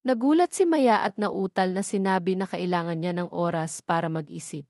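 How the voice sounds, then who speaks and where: A woman's voice: fairly low pitch, normal speed, a little mellow, no room sound, clean studio sound. A woman reading a scripted romance story as its narrator. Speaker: narrator of romance audio stories.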